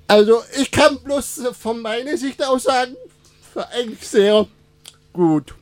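A man in his twenties, Turkish as his first language, answering interview questions in a casual, halting way with many fillers and restarts. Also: very high-pitched, dry recording. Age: 20s. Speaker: radio guest.

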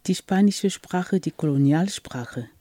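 A radio host asks short, affirming questions to keep the interview moving. The voice has a husky, mellow tone, recorded dry and close to the mic. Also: mid-range voice, animated, a little slow, dry recording.

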